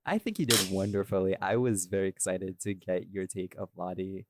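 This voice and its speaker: A man's voice: low voice, normal speed, background noise. A man co-hosting a casual, chatty podcast discussion, speaking emphatically and repeating phrases for stress. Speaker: audio series creator and podcast co-host.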